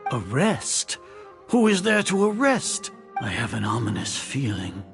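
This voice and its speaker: Casting: haughty, suspicious game scholar-ruler. Gravelly, theatrical, highly expressive range, commanding but rattled. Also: male voice, a little slow, music in the background, heavily acted. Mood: alarmed, brooding. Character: imperious male Grand Sage, suspicious, increasingly unnerved. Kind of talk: dramatic video game voice acting.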